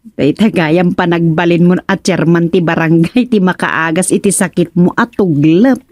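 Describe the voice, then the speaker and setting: A woman's voice: on the low side, normal speed, a little mellow, dry recording, studio quality, close to an everyday voice. A woman acting a teasing, hospitable village captain's wife in an Ilocano radio comedy drama, chatty and homely.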